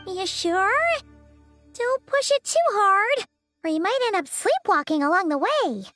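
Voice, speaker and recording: A woman's voice: very high voice, very animated, measured pace, fairly bright tone, exaggerated voice. A woman voicing a small, chirpy, childlike female companion in a video game, blunt and exclamatory. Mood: concerned, exasperated. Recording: music playing behind the voice.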